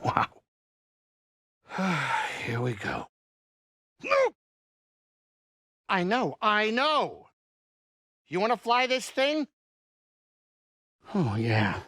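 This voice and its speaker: A man playing a middle-aged alien pilot and saloon owner in a video game, anxious but kindhearted, with expressive, folksy, wry grumbling. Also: high-pitched, very slow, pauses often, no room sound, clean studio sound, heavily acted. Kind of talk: video game character acting.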